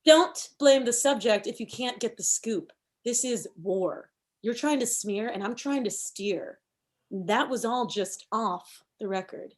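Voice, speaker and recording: A woman's voice: a little high, unhurried, natural voice. A woman playing a pushy, combative thirty-year-old campaign manager in a comedy table read, arguing emphatically. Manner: argumentative, emphatic.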